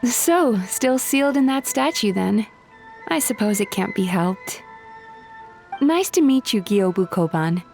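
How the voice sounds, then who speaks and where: A woman voicing a friendly, composed yokai therapist in a video game, polite, measured and reassuring. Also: medium pitch, lively intonation, vocal fry, a little mellow, soft background music. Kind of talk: scripted video game dialogue.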